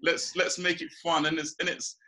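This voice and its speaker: A man in his thirties from Ghana, a small business owner, chatting relaxed over a video call from a small room at home, laughing often and restarting his sentences. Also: fairly high pitch, moderate pace. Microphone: video call webcam.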